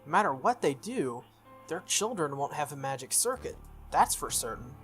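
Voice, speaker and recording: A man reading the lines of a sharp, proud teenage schoolgirl from a visual novel. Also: on the high side, sing-song, normal speed. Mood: self-reproaching. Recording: music behind the voice.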